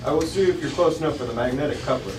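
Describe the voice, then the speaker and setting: A teasing space ranger at a station radio desk, read by a man at a table read. The voice is lightly high and belongs to an old-fashioned space serial. It comes from a distance through restaurant noise. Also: very fast, lightly acted. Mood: friendly, brisk. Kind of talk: 1950s space serial dialogue, read aloud. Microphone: distant camera microphone. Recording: echoey noisy restaurant, far from camera.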